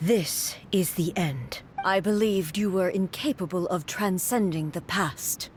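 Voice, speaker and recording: A woman playing a proud, stern warrior ruler in a video game, speaking formally in a measured, grave way. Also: fairly low pitch, a little hiss or hum, lightly acted. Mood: solemn. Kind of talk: scripted video game dialogue.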